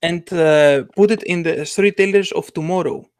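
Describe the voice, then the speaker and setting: A man with a somewhat high voice talks casually in a podcast. He speaks haltingly, pausing with fillers as he searches for words, and his voice sounds dry and close with no room echo. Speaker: podcast co-host.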